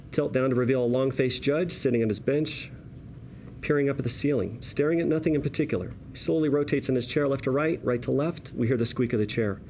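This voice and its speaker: A man reading stage directions at a table read as narrator, in a wry, deliberate storytelling manner. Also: medium pitch, darkish tone, a little hiss or hum.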